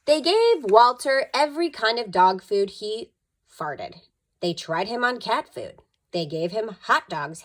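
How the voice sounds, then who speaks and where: A woman narrating a children's story expressively, with dramatic emphasis, like a school storytime reader. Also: a little high.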